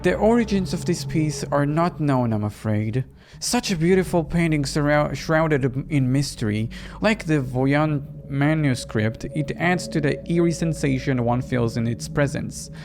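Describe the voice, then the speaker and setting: A man's voice: fairly high pitch, noisy background. Man acting a courtly, eerie art dealer. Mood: mysterious, reverent. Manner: formal, slow, theatrical. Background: music behind the voice.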